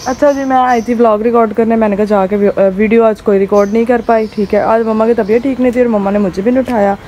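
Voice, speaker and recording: A woman with a hoarse throat chats casually to camera as a vlogger, rambling with many fillers while filming on a rooftop. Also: on the high side, fairly even intonation, fast, dark tone, slight background noise. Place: rooftop outdoors.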